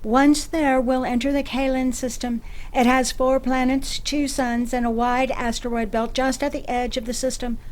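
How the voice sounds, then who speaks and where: A woman's voice: high-pitched, level tone, moderate pace, lightly acted. A woman playing a businesslike mission officer explaining charts in an audio drama.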